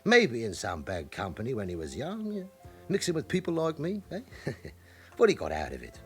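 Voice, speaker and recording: An actor playing an evasive, chatty forty-year-old London club owner with an underworld past in a radio drama. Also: male voice, mid-range voice, wildly swooping pitch, moderate pace, faint music behind. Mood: defensive.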